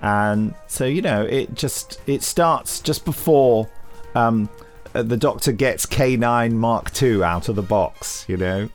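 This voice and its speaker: Casting: British man, mid-pitched, jovial casual news chat, faint music behind. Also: slow, few pauses. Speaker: podcast co-host.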